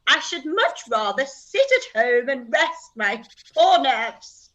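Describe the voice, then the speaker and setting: A woman's voice: sits high, very animated, takes it slowly, a bit bright, exaggerated voice. A woman playing a fretful, self-pitying middle-aged mother in a period radio play, complaining and exclaiming melodramatically. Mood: fussing complaint.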